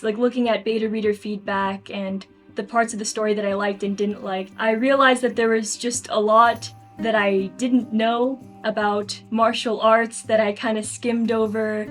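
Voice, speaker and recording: A woman talks as a guest in a relaxed interview. Her delivery is conversational and earnest, with clear enthusiasm as she reflects. Music plays in the background behind her mid-pitched voice. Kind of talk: reflective storytelling in a casual interview.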